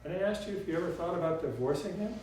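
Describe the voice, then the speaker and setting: A man's voice: sits high, animated, racing, a little mellow, pitched above the performer's own voice. A troubled, wistful woman in an audiobook story, voiced by a male narrator. The voice carries her frustration, heard from a little distance in a very reverberant room with faint noise. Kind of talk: audiobook character dialogue.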